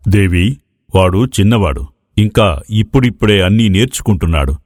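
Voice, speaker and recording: A man voicing a patient, fatherly maharaja with measured, formal speech in a dramatized moral story. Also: fairly low pitch, animated, a little mellow, dry recording, clean studio sound. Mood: troubled, reasoning.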